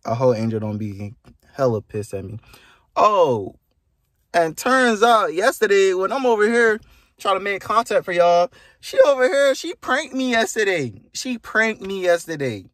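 A man's voice: medium pitch, no echo. Young American man vlogging, dry close sound. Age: young adult. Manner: slangy, playful, boastful. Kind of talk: casual chat to camera. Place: inside a parked car, later a bedroom. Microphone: phone at arm's length.

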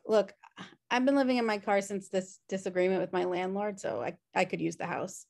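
A woman's voice: mid-range voice, brisk, creaky, darkish tone, lightly acted. A woman playing a chill, messy, sarcastic twin sister in a comedy table read on a video call. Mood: wry, exasperated. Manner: wry, sarcastic. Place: home room, video call.

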